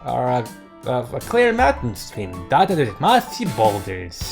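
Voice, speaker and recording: A man reading a visual novel aloud as a let's play host, mixing narration with casual, excitable commentary. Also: medium pitch, a little slow, creaky. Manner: excitable outbursts between narrated lines. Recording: game music behind the voice. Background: game background music.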